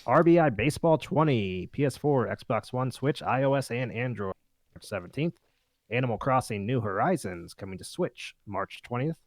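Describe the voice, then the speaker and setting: A man's voice: medium pitch, expressive, normal speed, no room sound. A man hosting a casual podcast, chatting with the group and reading through a list. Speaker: podcast host.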